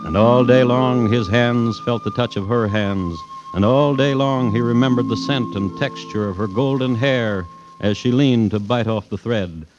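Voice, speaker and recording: A man's voice: medium pitch, normal speed, close to an everyday voice. Radio drama narrator, male: wry, sentimental, mid-range voice, dulled vintage recording, music underneath. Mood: wistful, dramatic. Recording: muffled old radio broadcast.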